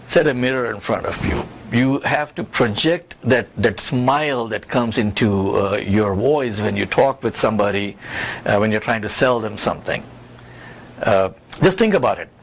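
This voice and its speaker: A man's voice: lowish voice, moderate pace. Male career coach, emphatic, noisy recording. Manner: emphatic, instructive, repeats points and checks with "okay". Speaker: career coach and job search expert. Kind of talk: interview-style career advice talk. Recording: clearly noisy background.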